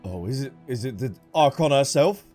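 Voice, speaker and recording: A man's voice: mid-range voice, animated, moderate pace, mellow. A man streaming a game and chatting along casually. His voice swings widely, jumping into exclamations, with faint music behind him. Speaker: game streamer reacting to story dialogue. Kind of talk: casual playthrough commentary.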